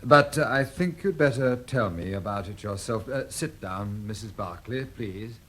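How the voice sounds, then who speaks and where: An actor playing a flustered but kindly man in a radio drama, husky, fussy and repeating himself. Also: fairly low pitch, lively intonation, normal speed, a bit bright, a little hiss or hum. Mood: concerned, soothing. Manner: repetitive, reassuring, fussy.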